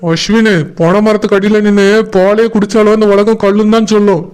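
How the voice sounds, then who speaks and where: Casting: male actor as jealous, scheming young cartoon groom, high voice, aggrieved and insistent, noisy soundtrack. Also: level tone, brisk, lightly acted. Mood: exasperated. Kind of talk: cartoon voice acting in colloquial dialogue.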